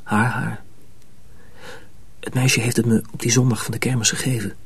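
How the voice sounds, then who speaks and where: An actor playing a wary, troubled young miller's apprentice in a radio drama, questioning and guarded, with a soft, husky voice. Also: male voice, medium pitch, level tone, moderate pace, no echo. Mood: puzzled.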